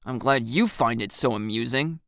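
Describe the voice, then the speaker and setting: A woman's voice: a bass voice, moderate pace, fairly bright tone, dry recording, clean studio sound. A woman voicing an embarrassed, grumpy teenage boy in a dramatized audio story, with a blunt, pouty, exasperated manner. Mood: sulky embarrassment.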